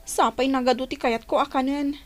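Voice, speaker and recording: A woman's voice: high voice, steady tone, brisk, faint music behind, close to an everyday voice. A woman playing a whiny, demanding young wife in an audio drama. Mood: whiny, pleading. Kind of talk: Ilocano audio drama acting.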